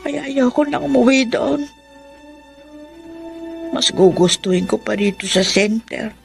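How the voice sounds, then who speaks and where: A woman playing a hurt, stubborn elderly grandmother in a radio drama, with a creaky, raspy voice and a plaintive manner. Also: on the high side, expressive, a little slow, music in the background. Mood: hurt, bitter. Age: older. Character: hurt, stubborn old grandmother feigning memory loss.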